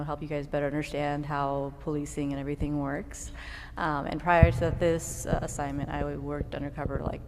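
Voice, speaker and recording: Low-voiced lady cop introducing herself, echoey hall, bit of noise. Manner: plain, friendly self-introduction with fillers. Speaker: police officer and community liaison. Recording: reverberant hall with faint noise.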